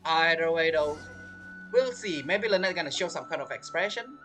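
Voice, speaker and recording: A man giving casual gameplay commentary as a streamer, in a halting, rambling, self-interrupting way. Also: on the high side, expressive, bright, forceful tone, faint music behind. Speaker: game streamer.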